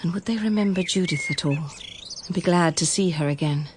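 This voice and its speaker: A woman's voice: on the low side, a little fast, noisy background. A woman with a British accent reading literary, scripted narration for a radio drama.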